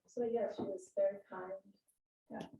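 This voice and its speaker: A woman's voice: mid-range voice, fairly even intonation, moderate pace, creaky, dull audio, a crowd in the background. A woman in the audience sharing memories during a Q&A. She speaks hesitantly with fillers, with sudden bursts of emphasis. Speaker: audience member asking a question. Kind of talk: personal recollection from the floor. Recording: roomy hall sound.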